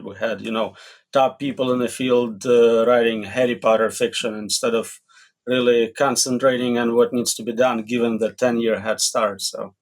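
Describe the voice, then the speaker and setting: A man with a mid-range voice speaks as an expert guest in an interview. He gives his answers plainly and bluntly, in a matter-of-fact manner. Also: mid-range voice. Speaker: expert guest, AI safety researcher.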